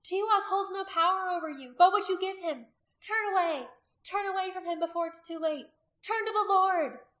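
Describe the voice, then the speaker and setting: Woman as a high, fervent woman of faith. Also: normal speed. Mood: urgent pleading. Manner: loud, earnest proclaiming. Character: devout, brave woman believer pleading and preaching.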